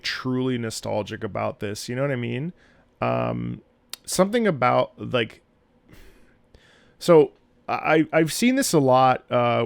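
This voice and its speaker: A man's voice: medium pitch, gravelly. A man in his thirties giving casual reaction commentary in a musing, thoughtful way that often trails off. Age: 30s. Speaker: reactor and host, opera singer.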